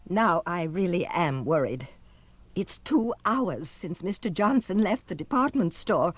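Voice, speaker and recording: Kindly female orphanage keeper, fretful, expressive, closely recorded, old cartoon record style. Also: lowish voice, measured pace, slightly rough, no room sound. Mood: worried. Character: kindly, anxious woman running an orphanage. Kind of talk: 1970s cartoon audio drama acting.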